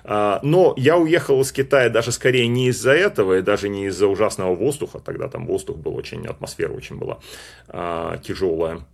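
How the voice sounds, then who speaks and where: A man's voice: fairly low pitch, a little fast, creaky. A man from Russia talking in a casual, reflective monologue, hesitant and full of fillers and restarts. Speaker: speaker on personal identity.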